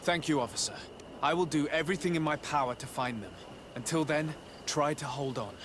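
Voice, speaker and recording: A man voicing a polite, resolute noble envoy in a video game, speaking in a formal, measured way. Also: on the high side, background noise, close to an everyday voice. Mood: calm, determined. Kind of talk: video game cutscene acting.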